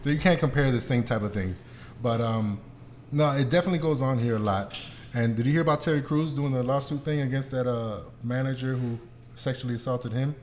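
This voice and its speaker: A man's voice: mid-range voice, fairly quick, darkish tone, slight background noise. Casual, slangy man hosting. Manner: informal, slangy, swears. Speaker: podcast host. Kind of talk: casual chat with a guest.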